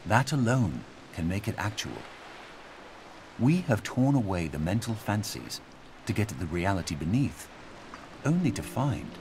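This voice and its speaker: A man acting as a thoughtful reader of philosophy in a game audio log, deliberate and carefully articulated, in a measured literary style. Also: deep voice, background noise. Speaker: audio log narrator reading a philosophical passage. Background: outdoor game ambience.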